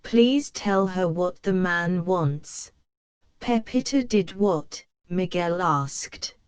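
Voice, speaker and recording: A woman narrating a children's storybook in a slow, clear read-aloud manner. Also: on the low side, no echo.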